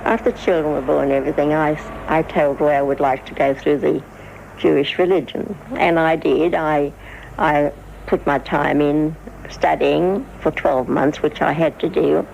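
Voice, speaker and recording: An older Australian woman reminiscing in an interview, telling her story in a measured, deliberate way. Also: low-pitched, steady tone, vocal fry, darkish tone. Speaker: interviewee, veteran stage performer. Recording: muffled, faintly noisy archival interview.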